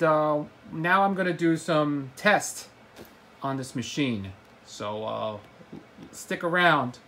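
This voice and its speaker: Male hobbyist presenter, slightly higher-pitched voice, casual demo style, stumbles and repeats himself. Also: fairly bright tone. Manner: halting, repeats words and stumbles. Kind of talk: casual gadget demonstration to camera.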